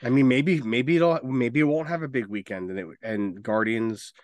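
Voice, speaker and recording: A man's voice: mid-range voice, moderate pace, no room sound. A man co-hosting a casual podcast, talking in a rambling, opinionated way with some swearing. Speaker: podcast co-host. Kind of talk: casual chat about movies and video games.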